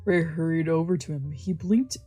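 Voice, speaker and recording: A woman's voice: sits low, normal speed, slightly rough. A woman streamer reading a visual novel aloud as narrator during a let's play. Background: soft game music.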